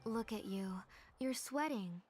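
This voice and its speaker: An actress playing a caring mother in a video game who fusses gently over her child. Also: medium pitch, animated, takes it slowly, slightly rough, faint music behind. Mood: doting. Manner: gentle, doting, scolding softly. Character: fussing caring village mother.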